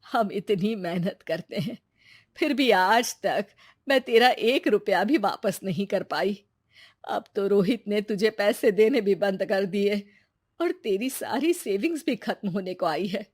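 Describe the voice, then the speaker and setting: A woman voicing a gentle, self-effacing old village grandmother in a cartoon moral story, affectionate and hesitant. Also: medium pitch, animated, a little slow, husky, no echo, clean studio sound. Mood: worried, disheartened. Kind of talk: scripted animated moral story dialogue.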